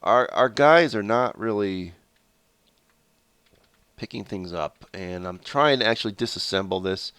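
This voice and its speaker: A man's voice: on the low side, a little slow, pauses often, a bit bright, clear, resonant tone, no echo. A man with a stuffed-up head cold and a nasal voice, giving rambling, casual let's-play commentary full of fillers and self-corrections. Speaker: gameplay commentator.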